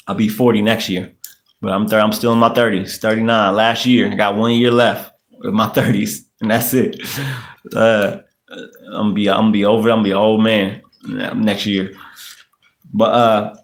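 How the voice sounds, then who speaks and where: A man in his late thirties from the US, hosting a casual livestream, chatting loosely, repeating himself and using street slang. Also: fairly low pitch. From United States. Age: 30s. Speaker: podcast host. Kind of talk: casual livestream chat reading comments.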